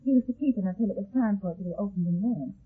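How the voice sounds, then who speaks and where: An anxious young heiress in a vintage radio mystery. Her voice is husky and melodramatic, catching and stammering as she talks. The old 1950s recording is thin and narrow. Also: medium pitch, rapid-fire. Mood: earnest, uneasy. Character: anxious young heiress suspected of murder, pleading. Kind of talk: 1950s radio detective drama acting.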